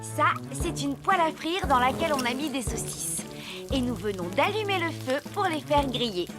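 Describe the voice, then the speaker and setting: A practical cartoon girl states plain facts simply. Her voice is high. Loud music plays beneath. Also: lively intonation, moderate pace. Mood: matter-of-fact. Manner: matter-of-fact. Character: practical young girl, Anna.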